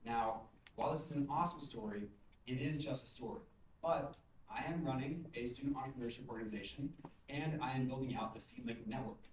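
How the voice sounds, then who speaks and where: A young man presents a prepared pitch as a student founder. He speaks in a presentational style, picked up at some distance in an echoey room. Faint noise sits behind him. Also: brisk. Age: young adult.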